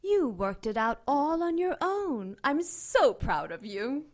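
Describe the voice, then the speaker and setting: An ancient female dark angel, imperious and sardonic. Her delivery is deliberate and theatrical, with pitch that rises and dips freely. She taunts and condescends as if everyone else were beneath her, in audio drama style. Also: very animated, exaggerated voice. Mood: gloating.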